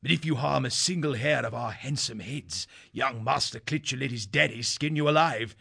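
A man's voice: mid-range voice, moderate pace, creaky, bright, forceful tone, breathy edge, full character voice. A man voicing a big male hare soldier who is a bold, mocking captive. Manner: breezy mocking banter.

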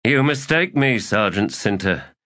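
A man's voice: lowish voice, lively intonation, a little slow, pushed and bright, voice pushed up. A male narrator playing a stern, affronted army captain who speaks in a stiff, reproving way.

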